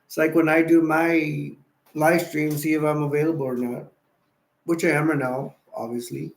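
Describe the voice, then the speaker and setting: A tired, sleepy man hosting a casual late-night live stream, rambling and giving viewers shout-outs. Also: a little high, little pitch movement, moderate pace, gravelly. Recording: echoey room.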